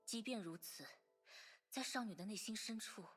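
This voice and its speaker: A woman's voice: medium pitch, moderate pace, husky, natural voice. A woman playing a composed storyteller, reading scripted narration for a video game story. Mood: composed, solemn. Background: music.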